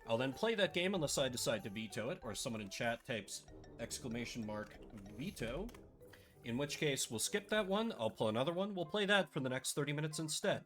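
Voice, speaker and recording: A man chats on his live stream in a lively, bouncing voice that is a little rough and scratchy today. He talks in a playful, sarcastic way. Faint music plays behind him. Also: medium pitch, fairly quick. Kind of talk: casual live-stream chat.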